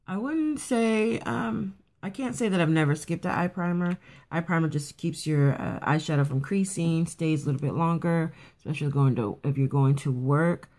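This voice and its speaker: A woman with a raspy morning voice casually talking through a quick makeup routine, rambling a bit with fillers and restarts. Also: low-pitched, darkish tone. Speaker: beauty vlogger. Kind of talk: casual makeup tutorial chat. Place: at home getting ready for work.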